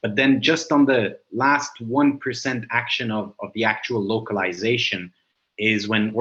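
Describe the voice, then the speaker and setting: A man's voice: on the low side, bright, forceful tone, lo-fi sound. A man whose first language is Arabic, giving a webinar presentation over a video call and explaining with frequent hesitations and fillers.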